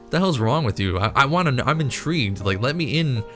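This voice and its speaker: A man's voice: mid-range voice, expressive, quick pace, a bit bright, soft background music. A man hosting a video channel, chatting casually in a rambling, jokingly incredulous way with frequent self-interruptions. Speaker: YouTube cartoon channel host.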